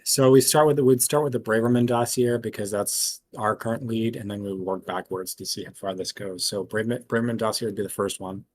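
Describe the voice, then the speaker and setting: Casual man with a lowish voice. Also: fairly even intonation, brisk, few pauses, dry recording. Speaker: tabletop role-playing player. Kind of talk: casual table talk.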